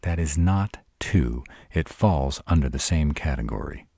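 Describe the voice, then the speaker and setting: A man's voice: a bass voice, expressive, warm tone, no echo, clean studio sound, heavily acted. A man playing a sarcastic, brooding male vampire in an intimate roleplay audio drama, proud and guarded but secretly smitten. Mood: grumbling, sardonic. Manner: sardonic, exasperated, halting when vulnerable.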